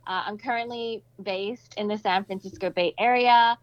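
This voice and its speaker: A woman's voice: mid-range voice, steady tone, normal speed, dry recording, lo-fi sound. A woman raised in Southeast Asia and Australia, answering interview questions in an upbeat, chatty way. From Malaysia, raised in Indonesia, Australia and Singapore. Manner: upbeat, chatty, with playful asides. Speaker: guest, nonprofit founder. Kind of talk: casual conversational interview answers.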